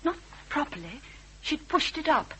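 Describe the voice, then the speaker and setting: A woman's voice: sits high, big pitch swings, a little slow, pushed and bright, heavily acted, rough recording. A woman playing a nervous, defensive witness in a classic radio drama, hesitant and emotional. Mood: anxious confession. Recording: old radio drama recording.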